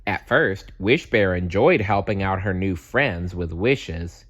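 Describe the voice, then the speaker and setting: A man narrating a children's story aloud with expressive, deliberate phrasing. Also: deep voice, dull audio. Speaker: storybook reader.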